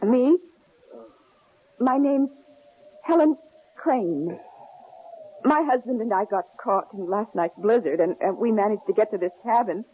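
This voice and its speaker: An actress playing a frightened young wife in an old radio drama, talking in a breathless, pleading, tremulous way. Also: female voice, mid-range voice, expressive, unhurried, pauses often, breathy edge. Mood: anxious. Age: young adult. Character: frightened young wife trapped in a snowbound cabin. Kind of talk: 1950s-70s radio drama acting. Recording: tinny, lo-fi old radio recording with faint hiss.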